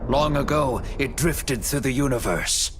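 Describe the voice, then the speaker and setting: A man narrating a video game story cutscene in a measured, dramatic storytelling manner. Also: mid-range voice, slight background noise. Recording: music under the voice. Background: music.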